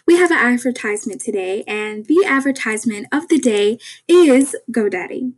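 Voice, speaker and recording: A young woman hosting a casual podcast, her voice raspy from just waking up, talking in a rambling, chatty way with many fillers. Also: on the high side, animated, moderate pace. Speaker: podcast host.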